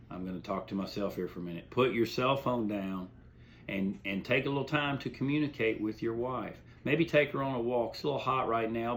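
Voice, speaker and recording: A man's voice: mid-range voice, fairly bright tone, a little hiss or hum. A middle-aged man giving an informal, folksy talk, telling stories and offering plainspoken advice, repeating phrases for emphasis. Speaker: host.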